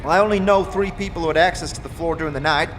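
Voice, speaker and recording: A man voicing a brisk, weary woman who supervises a foundry floor in a fantasy audio drama. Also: on the high side, fairly bright tone, a little hiss or hum, voice pushed up, lightly acted. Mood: weary, businesslike.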